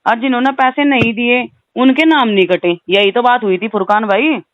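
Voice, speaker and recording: A woman's voice: a little high, fairly even intonation, normal speed, no room sound. Insistent woman on a dull phone recording. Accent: western Uttar Pradesh. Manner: insistent, aggrieved, punctuating with questioning tags. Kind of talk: heated phone conversation. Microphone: phone call.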